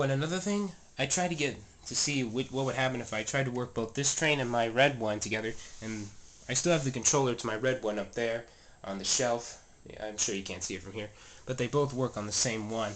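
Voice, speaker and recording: A man's voice: mid-range voice, fairly bright tone, a little hiss or hum. Stuffy-nosed man touring his toy trains. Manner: rambling, many fillers and self-corrections. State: stuffy and scratchy-voiced. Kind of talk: casual show-and-tell narration. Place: home train room, indoors. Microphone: handheld camera mic, filming close.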